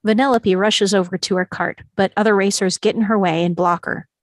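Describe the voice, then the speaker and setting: A woman's voice: mid-range voice, fairly even intonation, brisk, no echo. A woman reading screenplay stage directions as scripted narration.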